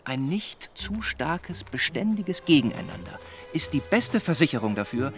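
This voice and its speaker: An actor playing an earnest, well-meaning young gentleman in a German radio drama, speaking in a measured, formal, persuading way. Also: a little high, big pitch swings, soft background music. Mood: insistent.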